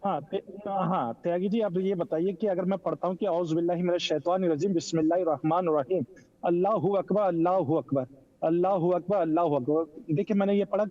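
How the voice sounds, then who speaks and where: Guy on a news debate panel, high voice, insistent repetitive arguing, muffled lo-fi feed. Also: mellow. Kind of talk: argumentative TV debate. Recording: dull lo-fi remote link.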